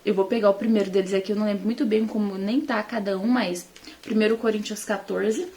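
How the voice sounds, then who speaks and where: A woman's voice: medium pitch, steady tone, a little fast, a little mellow, slight background noise. A Brazilian woman teaching the Bible informally to online followers, conversational and emphatic in her delivery.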